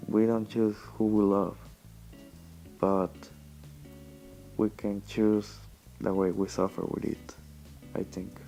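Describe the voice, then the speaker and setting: Young man, low creaky mellow voice. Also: rough recording. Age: young adult. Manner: halting, meandering delivery. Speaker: vlogger. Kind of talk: casual rambling audio diary. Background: quiet plucked guitar music.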